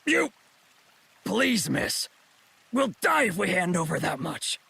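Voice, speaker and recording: An actor playing a brazen, scheming gang-leader swindler in a video game, boastful and wheedling in manner. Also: male voice, sits high, big pitch swings, slow pace, creaky, sharp tone, breathy edge, exaggerated voice. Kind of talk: theatrical game cutscene voice acting.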